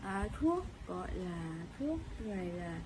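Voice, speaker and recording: A woman giving a casual product review, chatty and pausing often with hesitations. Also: medium pitch, very animated, noisy background. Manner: chatty, fills pauses with hesitations.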